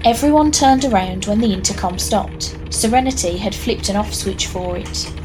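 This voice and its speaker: A woman's voice: mid-range voice, expressive, normal speed, music in the background, low-quality recording. A woman narrating a scripted story in a wry, conversational way with knowing asides.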